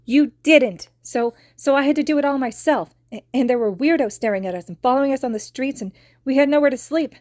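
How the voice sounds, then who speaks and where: A woman voicing a little girl, high and wobbly, angry and near tears, mellow, dry sound. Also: lively intonation, normal speed, husky, no echo. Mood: upset. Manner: wobbling between anger and tears. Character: tearful angry girl around seven.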